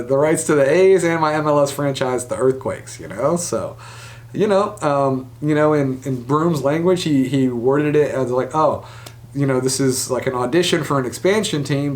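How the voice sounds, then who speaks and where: Higher-voiced man talking sports. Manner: conversational, speculative, hedging asides. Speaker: sports podcast host. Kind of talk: casual sports news commentary.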